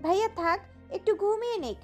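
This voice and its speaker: A woman playing a soft-hearted young woman who reassures her older brother in an audio story. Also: very high-pitched, fairly quick. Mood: reassuring. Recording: music bed under voice.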